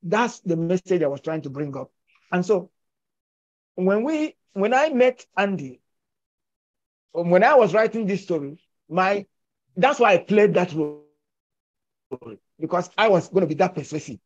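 A man's voice: high-pitched, expressive, measured pace, long pauses. Emphatic older man on a video call. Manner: emphatic, persuasive, gesturing with his hands. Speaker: filmmaker and actor discussing his film. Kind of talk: conversational storytelling. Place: indoors at home, virtual backdrop. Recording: muffled video call audio.

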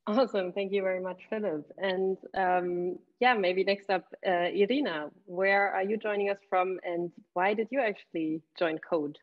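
A woman moderating a remote student panel over a video call, friendly and halting, with many fillers. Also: mid-range voice, fairly even intonation, lo-fi sound. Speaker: event moderator.

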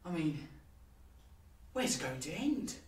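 A young man acting a morbidly musing, rambling character in a halting theatre monologue. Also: fairly high pitch, sing-song. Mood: gloomy. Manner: halting, conversational musing with swings in volume. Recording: roomy, distant mic with noise.

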